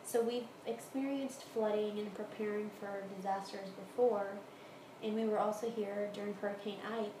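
American woman chatting through interview answers, medium pitch, roomy echo and noticeable noise behind her. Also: steady tone, moderate pace. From Houston area, Texas, United States. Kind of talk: casual interview answers, recounting personal experience. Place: room in a home.

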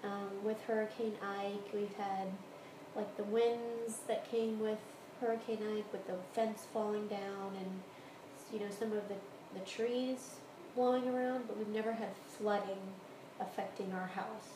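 A woman's voice: mid-range voice, fairly even intonation, measured pace. American woman in a noisy, echoey room. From Houston area, Texas, United States. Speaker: interviewee. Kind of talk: casual interview answers, recounting personal experience. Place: room in a home. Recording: roomy echo, clear background noise.

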